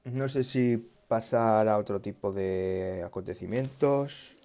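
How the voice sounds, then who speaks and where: Slow, low-voiced tech vlogger. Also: male voice, warm tone, clean tone. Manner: halting, restarts and self-corrections, musing. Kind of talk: casual tech news chat.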